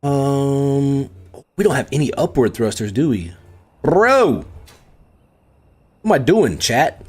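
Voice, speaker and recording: A middle-aged American man talks casually to his live chat while he plays. He thinks out loud as he builds and often pokes fun at himself. His voice sits at an ordinary male pitch. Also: very animated, slow pace, long pauses, husky. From United States. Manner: chatty, self-deprecating, thinks aloud and talks to chat. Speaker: game streamer.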